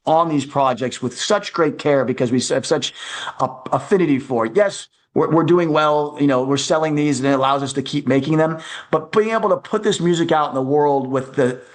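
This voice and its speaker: An American man answering as an interview guest, in a conversational way, often halting as he thinks aloud. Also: fairly high pitch. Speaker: guest, music producer.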